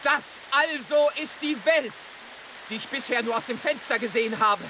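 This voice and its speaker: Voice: mid-range voice, moderate pace, close to an everyday voice. An actor playing a naive, pampered little house cat in a radio drama, wide-eyed, wordy and politely formal. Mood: shivering, awed. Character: naive little pampered house tomcat, timid yet plucky. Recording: noisy with rain and surf.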